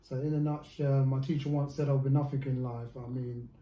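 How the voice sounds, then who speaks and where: A man's voice: mid-range voice, level tone, brisk, mellow, roomy sound. A man leading a friendly coaching session, upbeat and joking about himself. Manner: upbeat, joking and self-mocking. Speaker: life coach.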